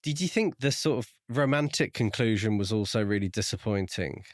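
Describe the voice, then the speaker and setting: Lower-voiced man, casual and measured. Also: expressive, dry recording, clean studio sound. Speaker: podcast co-host. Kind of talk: casual book discussion.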